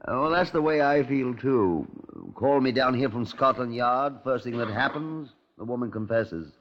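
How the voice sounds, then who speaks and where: An actor playing a courteous, wry Scotland Yard inspector, narrating his case in a measured radio crime drama style. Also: medium pitch, lightly acted. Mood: deferential, doubtful. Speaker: detective narrator. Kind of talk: 1950s radio crime drama, first-person narration and dialogue. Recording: dull, muffled 1950s radio recording.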